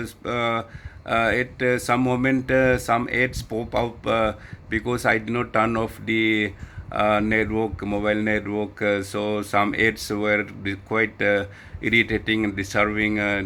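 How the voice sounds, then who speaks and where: A man's voice: fairly low pitch, sharp tone, slight background noise. A man with an Indian accent chatting casually as an amateur radio operator, hesitant and often repeating his words. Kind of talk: casual ham radio chat.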